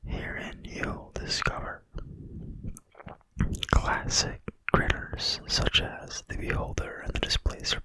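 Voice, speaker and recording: Asmr reader whispering super slow, breathy and quiet, crisp sharp hiss on the words. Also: no room sound, rough recording. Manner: quiet, slow, deliberate phrasing. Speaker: ASMR video host and reader. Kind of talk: whispered ASMR reading of a game manual.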